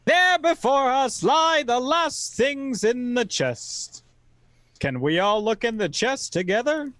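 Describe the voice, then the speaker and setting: A man playing a boy adventurer who narrates his tale in a radio play with deliberate, dramatic suspense. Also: very high-pitched, a bit bright, dry recording, full character voice. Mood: excited wonder.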